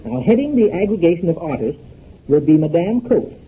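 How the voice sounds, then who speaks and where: An actor playing a pompous, easily exasperated young American announcer, in 1930s radio comedy style. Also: male voice, a little high, very animated, brisk. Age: young adult. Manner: pompous, exasperated, formal diction. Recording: tinny, noisy 1930s radio recording.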